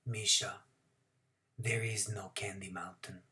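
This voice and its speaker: A reader voicing a frightened father who talks to his son in a low, urgent whisper. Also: level tone, slow, sharp tone, exaggerated voice. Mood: urgent hushed. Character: frightened middle-aged father, urging his son to flee.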